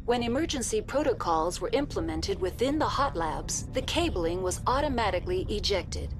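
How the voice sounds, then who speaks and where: An actress playing a polite, impassive facility computer in a video game, speaking in formal, measured announcements. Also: female voice, medium pitch, lively intonation, music in the background, close to an everyday voice. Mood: calm, impassive. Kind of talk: scripted video game dialogue.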